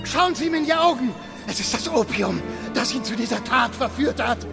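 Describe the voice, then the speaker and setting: A hysterical accuser in a German radio drama. The voice is extremely high and gravelly, shrilly giving orders and accusations over loud music. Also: male voice, expressive, moderate pace, exaggerated voice. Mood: frantic, accusing. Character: hysterical accuser shouting for a constable. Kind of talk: German radio play acting.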